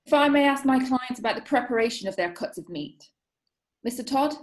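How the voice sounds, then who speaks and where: A woman's voice: high voice, animated, brisk. A woman acting in a radio drama as a formal, conciliatory defence lawyer pleading for clients.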